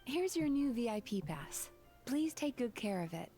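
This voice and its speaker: An actress playing a composed, gentle-mannered young woman therapist in a video game, speaking in measured, formal phrases. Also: on the high side, big pitch swings. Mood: calm, reasoned. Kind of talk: scripted video game dialogue. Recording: game music behind the voice.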